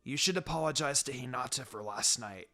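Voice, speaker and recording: A man's voice: medium pitch, brisk, bright, forceful tone, voice pushed down, lightly acted. A man voicing an earnest, stubborn teenage boy ninja in a story reading, blunt and insistent. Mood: scolding. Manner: direct, insistent. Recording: music behind the voice.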